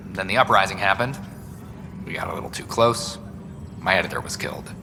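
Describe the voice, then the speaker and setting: An actor playing an overworked, earnest young news reporter in a video game, chatty, wry and self-deprecating. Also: male voice, fairly low pitch, natural voice. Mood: rueful. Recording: reverberant hall with background noise.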